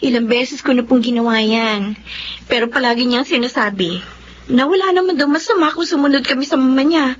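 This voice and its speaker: A woman's voice: sits high, fairly quick, close to an everyday voice. A woman playing a respectful, weary young mother and daughter-in-law in a radio drama, earnest and polite. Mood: weary, troubled. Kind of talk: Filipino radio drama acting. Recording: dull audio, faint noise.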